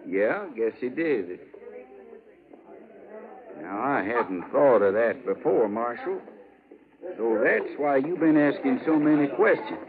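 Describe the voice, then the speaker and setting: A man's voice: medium pitch, expressive, takes it slowly, pauses often, crowd noise. A man with a rustic American accent playing a plain-spoken, gritty buffalo skinner in a radio western, talking in a folksy way. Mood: dawning suspicion. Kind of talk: 1950s radio western acting. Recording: narrow-band 1950s radio, background noise.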